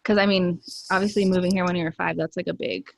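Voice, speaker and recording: A woman's voice: on the low side, fairly even intonation, fast talker, vocal fry, dry recording. A young woman from the United States hosting a podcast, chatty and casual, laughing easily. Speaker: podcast host. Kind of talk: casual conversation.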